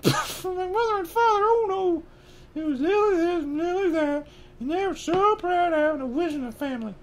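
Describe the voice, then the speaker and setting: Spiteful storybook aunt: shrill high falsetto, bitter rant, noticeable background noise. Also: male voice, expressive, moderate pace. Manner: ranting, bitter.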